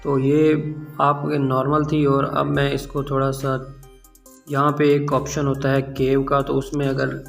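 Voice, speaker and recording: Animated man presenting, music behind him. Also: mid-range voice, measured pace, gravelly. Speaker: tech tutorial host. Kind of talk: casual app tutorial presentation.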